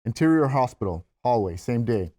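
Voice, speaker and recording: Male narrator, medium pitch, scripted stage-direction read for a screenplay. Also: animated, moderate pace, mellow.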